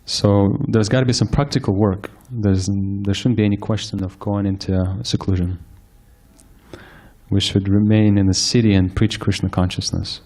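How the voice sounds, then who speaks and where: A man giving a scripture lecture, soft-spoken and hesitant, with frequent fillers and restarts. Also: fairly low pitch, steady tone, moderate pace. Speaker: speaker giving a scripture class. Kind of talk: sermon-style lecture. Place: temple hall with live audience.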